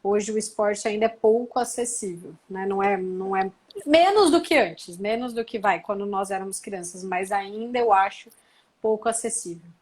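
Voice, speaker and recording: A woman in her thirties from Brazil, a doctor and guest, answering interview questions in a measured, reflective way and often adding tag questions. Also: mid-range voice, darkish tone. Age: 30s.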